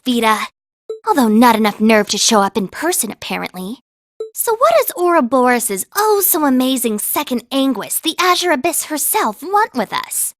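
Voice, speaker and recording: A woman voicing a feisty, indignant young female character in a fantasy video game, sharp-tongued and expressive. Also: high voice, dry recording, studio quality. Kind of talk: English video game voice acting.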